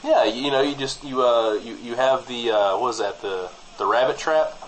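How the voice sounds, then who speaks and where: A man's voice: mid-range voice, normal speed, a little hiss or hum, low-quality recording. An American man co-hosting a comedy podcast, bantering as if presenting small-town radio. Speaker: podcast co-host.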